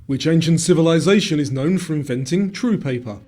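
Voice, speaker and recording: A man's voice: a little high, lively intonation, a little fast, mellow, soft background music. A man reading out scripted quiz questions and their answers as a quizmaster.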